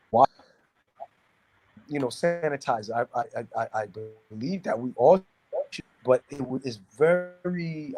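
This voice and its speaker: Halting man. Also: mid-range voice, poor audio. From New York City, United States. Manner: halting, repeats words, searching for phrasing. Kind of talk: casual discussion, opinionated talk.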